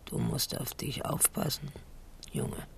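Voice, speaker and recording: An actress playing an anxious older noblewoman in a German radio drama, with a breathy, creaky, halting voice over a rumbling background. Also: lightly acted. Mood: worried.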